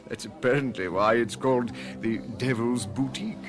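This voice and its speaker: An actor playing a courteous ship's captain who shares intriguing rumours in a radio mystery drama. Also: male voice, mid-range voice, normal speed, lightly acted. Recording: music behind a radio drama.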